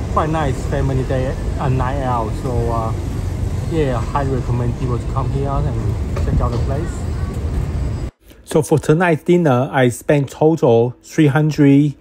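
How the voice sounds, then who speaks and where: A man casually reviewing food in a vlog, with many fillers and hesitations and eager praise for each dish. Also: medium pitch. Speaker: food vlogger. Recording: street noise behind.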